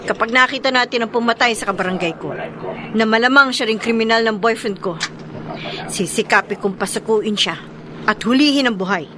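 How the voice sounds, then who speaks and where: A woman's voice: on the high side, brisk, lightly acted. An actress playing a determined, vengeful young policewoman in a radio drama. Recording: noisy vehicle sound behind.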